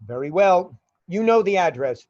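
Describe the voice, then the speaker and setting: A woman playing a cautious criminal go-between in a radio play, halting and careful, on a dull recording. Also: on the low side, animated, darkish tone, lightly acted. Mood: cautious, hesitant. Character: cautious, hesitant criminal go-between giving orders. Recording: dull, echoey room sound.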